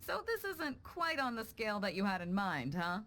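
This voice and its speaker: A woman's voice: mid-range voice, very animated, moderate pace, fairly bright tone, a little hiss or hum. A young woman playing a bold, teasing, good-hearted female sea captain in a video game, speaking with confident, playful command. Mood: confident, instructive.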